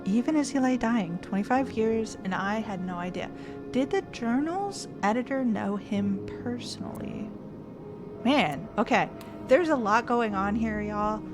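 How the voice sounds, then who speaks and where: A woman streaming a puzzle game, chatting casually, thinking out loud and reading the game's text aloud. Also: fairly high pitch, expressive, measured pace. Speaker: gaming streamer. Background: game music.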